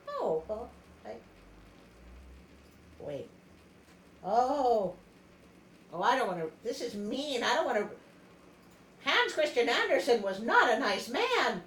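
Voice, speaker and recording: Woman storyteller, comedic and expressive, high voice, roomy recording with wry commentary between passages. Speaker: storyteller, show host. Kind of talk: comedic storybook reading with asides. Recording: roomy echo, faint background noise.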